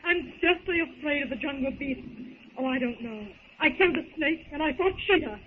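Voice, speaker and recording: A woman's voice: high voice, lively intonation, a little fast. A woman acting an anxious, refined young woman with earnest, proper diction in a 1930s radio serial. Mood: anxious, pleading. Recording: noisy, tinny 1930s radio recording.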